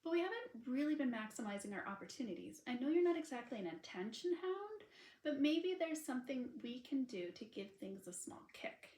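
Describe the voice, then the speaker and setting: A woman's voice: high voice, sing-song, a little fast, darkish tone. A woman voicing a cautious, lovestruck former beauty queen in a romance audiobook. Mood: encouraging. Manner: tentative, feisty when pushed. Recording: unedited roomy home recording.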